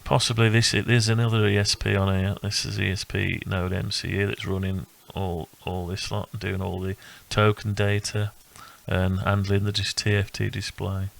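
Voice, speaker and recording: Male hobbyist presenter: low, fry-heavy voice, very even intonation, casual halting walkthrough. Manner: thinking aloud, halting and rambling. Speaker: electronics hobbyist presenting own build. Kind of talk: casual unscripted project walkthrough.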